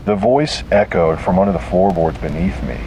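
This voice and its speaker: A man delivering scripted first-person horror narration in a grave, dramatic manner with deliberate pauses. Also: low-pitched, animated, background noise.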